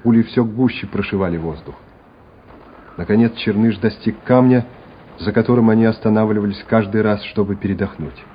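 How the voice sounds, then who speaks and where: A man's voice: fairly low pitch, a little fast, a little hiss or hum. A man narrating a war radio play in a scripted, dramatic storytelling style. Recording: dull radio recording with music behind.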